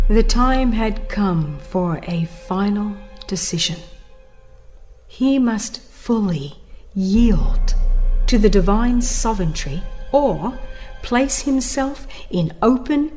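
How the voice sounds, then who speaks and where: A woman giving a dramatized, scripted narration of a religious book in a solemn, deliberate, theatrical manner, her phrases often ending hushed. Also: mid-range voice. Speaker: audiobook narrator. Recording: music behind the voice. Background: background music.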